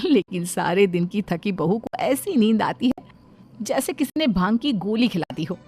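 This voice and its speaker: Woman, narrator, mid pitch, dramatic scripted storytelling, quiet music behind. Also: lively intonation, moderate pace.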